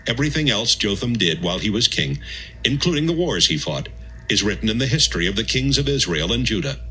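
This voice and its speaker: A man narrating a dramatised audio Bible as a scripted reading, in measured, clearly phrased storytelling. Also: on the low side, pushed and bright, a little hiss or hum, poor audio. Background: music.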